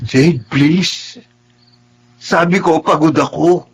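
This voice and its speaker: An actor playing a cold, impatient young husband in a radio drama, curt and weary. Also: male voice, fairly high pitch, slow pace, breathy edge, exaggerated voice.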